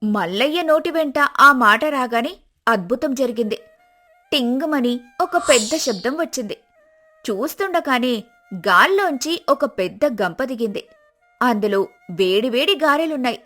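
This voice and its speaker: Woman storyteller reading a folk tale, steady, bit high. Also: measured pace, faint music behind. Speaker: narrator. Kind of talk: scripted storybook narration.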